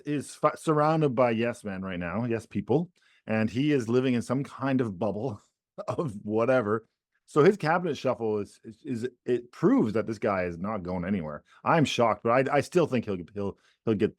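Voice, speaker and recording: A man's voice: medium pitch, expressive, normal speed, no echo, studio quality. A man co-hosting a casual political chat podcast, rambling with many restarts and repeated words. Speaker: podcast co-host.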